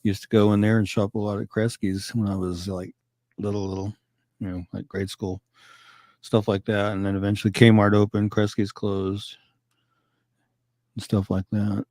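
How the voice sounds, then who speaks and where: A man's voice: fairly low pitch, flat delivery, darkish tone, no room sound, clean studio sound. A man in his fifties from Kansas, co-hosting a casual livestream chat and reading out missing-person details in an easygoing way. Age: 50s. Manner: easygoing, laughs often, reads details slowly. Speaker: co-host.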